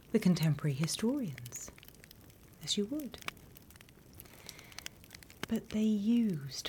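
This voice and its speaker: A British woman voicing a sly, wryly menacing demon instructor in a hushed, conspiratorial ASMR roleplay whisper, close to the microphone. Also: fairly low pitch, a little hiss or hum. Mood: dry, instructive. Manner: measured, hushed, dryly conspiratorial.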